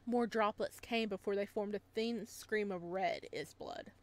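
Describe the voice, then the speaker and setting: A woman's voice: mid-range voice, fairly even intonation, unhurried, darkish tone. A woman playing through a visual novel, reading it aloud with dramatic narration, occasional stumbles and casual asides. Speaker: video game playthrough host.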